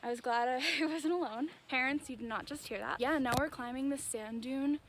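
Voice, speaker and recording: A young woman chatting excitedly to her handheld camera while travelling outdoors. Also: high-pitched, a little fast. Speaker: travel vlogger. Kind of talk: casual vlog talk to camera. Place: outdoors in a city and desert. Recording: handheld vlog with music and crowd noise.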